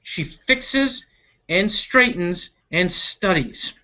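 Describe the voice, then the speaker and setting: A storyteller reading a picture book aloud to children in a deliberate, expressive way, stretching out key words. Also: mid-range voice, lo-fi sound. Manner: animated, deliberate, stretching key action words, with asides to listeners.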